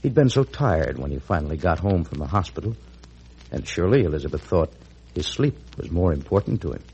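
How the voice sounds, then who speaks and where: A vintage radio announcer, an American man with a low, husky voice. He reads scripted copy with lively swings in pitch, in classic 1940s broadcast style. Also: fairly quick, highs cut off. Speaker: announcer and narrator. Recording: old 1940s radio recording.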